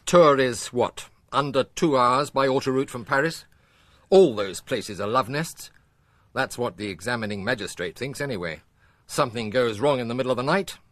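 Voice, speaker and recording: An actor playing a cautious senior French police officer in a radio drama, wry and authoritative. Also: male voice, medium pitch, a bit bright, dry recording, natural voice. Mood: sardonic, brisk. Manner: sardonic, measured authority. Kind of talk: naturalistic radio drama acting.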